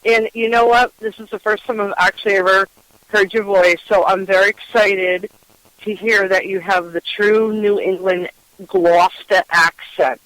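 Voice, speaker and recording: A woman's voice: mid-range voice, steady tone, moderate pace, a bit bright, dry recording, low-quality recording. A woman from Western Massachusetts hosting a casual interview, enthusiastic and rambling, laughing often. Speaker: podcast host.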